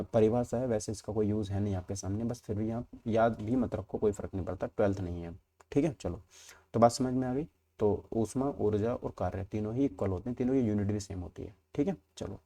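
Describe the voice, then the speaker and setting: Man, lowish pitch, very mellow dark tone, informal teacher, explanatory, checks in often. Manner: explanatory, with frequent check-in tags and repeated points. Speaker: teacher in an online exam-preparation class. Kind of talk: informal lesson walking through multiple-choice questions.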